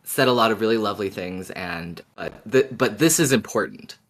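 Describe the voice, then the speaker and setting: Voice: medium pitch, normal speed, a bit bright. A podcast co-host chatting casually in a fan discussion.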